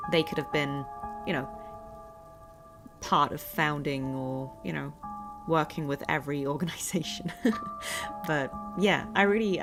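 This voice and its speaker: A woman's voice: sits low, creaky. A woman game streamer giving casual live commentary, musing and thinking aloud as she plays. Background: game music.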